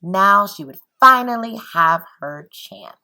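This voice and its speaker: A woman teacher reading aloud and speaking to children in an expressive, encouraging, deliberate way. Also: mid-range voice.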